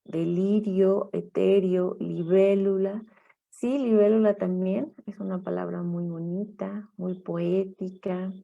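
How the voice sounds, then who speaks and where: A woman teacher leading an online class, speaking in a halting, encouraging way over a video call mic. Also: medium pitch, fairly even intonation, gravelly, phone-quality sound. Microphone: computer mic on a video call.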